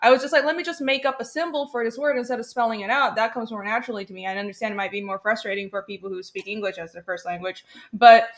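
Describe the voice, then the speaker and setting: A woman's voice: a little high, fairly even intonation, quick pace, few pauses. A woman who is a native Mandarin speaker, chatting casually as a guest comic creator on a livestream, explaining things with enthusiasm.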